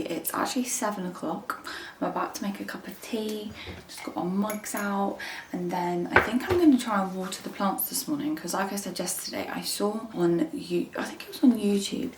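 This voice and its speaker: A young woman, chatting casually to camera at home and in her garden, rambling with plenty of fillers. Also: medium pitch. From England. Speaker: lifestyle vlogger. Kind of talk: casual vlog chat to camera. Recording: roomy echo with faint ambient noise.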